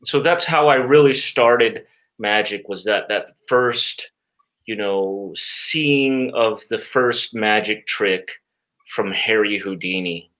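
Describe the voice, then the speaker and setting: Man giving halting, conversational interview answers. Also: fairly low pitch, fairly bright tone. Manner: halting, repeats words, frequent "you know". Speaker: interview guest, stage magician.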